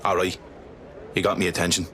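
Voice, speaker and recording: An actor playing a gruff, foul-mouthed street operative with a British accent and blunt, colloquial talk in a video game. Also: male voice, low-pitched, level tone, a little fast, fairly bright tone, some chatter behind, a little hiss or hum. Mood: gruff, irritated.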